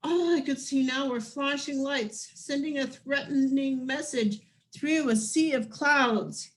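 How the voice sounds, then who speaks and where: A woman reading a children's picture book aloud expressively, with deliberate phrasing and drawn-out emphasis. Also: high voice, poor audio. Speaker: picture book reader, planetarium director.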